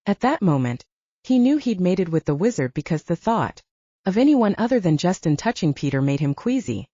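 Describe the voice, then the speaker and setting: A woman's voice: lowish voice, very animated, normal speed, dark tone, dry recording, highs cut off. A woman reading scripted fiction as an audiobook narrator.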